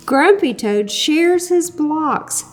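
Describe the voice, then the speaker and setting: A woman reading a children's picture book aloud with an animated storybook delivery, chatting to young viewers in between. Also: sits high, very slow, faint music behind.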